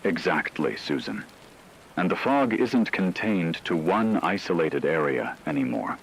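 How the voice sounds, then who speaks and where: A man playing a serious, measured news anchor in a scripted emergency radio broadcast drama. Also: low voice, lively intonation, gravelly, a little hiss or hum, full character voice, low-quality recording. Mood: grave, ominous. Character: grave male radio news anchor reporting a supernatural fog crisis.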